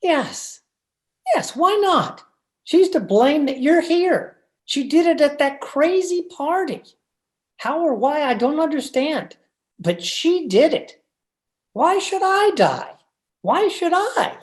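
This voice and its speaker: A woman's voice: high-pitched, sing-song, takes it slowly, full character voice. A woman playing a timid, henpecked husband who stammers and hesitates, in old time radio horror style. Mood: self-justifying resolve. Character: timid, henpecked, frightened husband, a meek little man. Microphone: video call.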